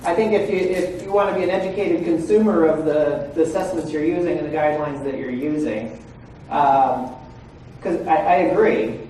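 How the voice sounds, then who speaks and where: A man's voice: on the high side, moderate pace, mellow, distant mic. A man in his forties gives a conversational lecture to a seminar audience. He keeps checking in with his listeners. His voice comes from well away from the mic in a very echoey room with a faint hiss of background noise. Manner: frequent "right?" and "okay?" check-ins with listeners. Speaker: presenter at a legal training seminar.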